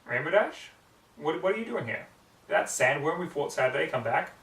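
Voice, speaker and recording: A man voicing a young female unicorn librarian, earnest, fussy and easily excited, in a story reading. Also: a little high, a little fast, roomy sound, far from the mic. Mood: surprised, doubtful. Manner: exasperated, fussy, prone to gushing.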